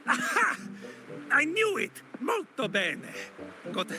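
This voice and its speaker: An actor playing an eccentric, dazed old fisherman in a video game, with theatrical, halting delivery full of exclamations. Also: extremely high pitch, wildly swooping pitch, full character voice. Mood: gleeful, brisk. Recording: loud music behind the voice.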